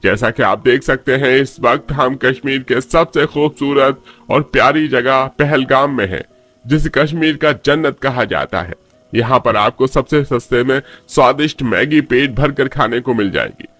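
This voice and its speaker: A man's voice: medium pitch, normal speed, fairly bright tone, lightly acted. Man acting a young travel blogger, music underneath. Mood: friendly, promotional. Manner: presenting, recommending. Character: friendly young male tourist video blogger.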